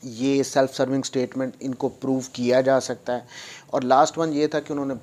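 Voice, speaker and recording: A man with a Pakistani accent giving an explanatory law lecture, switching into English legal terms, with didactic repetition and deliberate reading of clauses. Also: mid-range voice, level tone, a little mellow.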